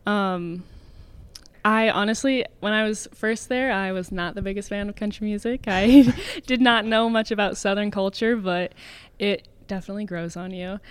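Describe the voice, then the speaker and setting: A young woman in her twenties talks easily in a relaxed interview, sprinkling her speech with little fillers and light laughs. Her voice is clean and smooth, pitched in the middle for a woman. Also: normal speed, dry recording. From Canada. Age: 20s. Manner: chatty, filler-heavy, laughs often. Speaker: guest, elite swimmer. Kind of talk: casual interview talk.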